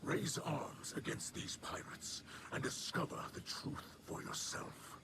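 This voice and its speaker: A man playing a noble alien elder and leader in a video game, speaking gravely and formally in halting phrases. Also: heavy background noise, natural voice. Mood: grave, solemn. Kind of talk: video game character acting. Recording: loud game music behind.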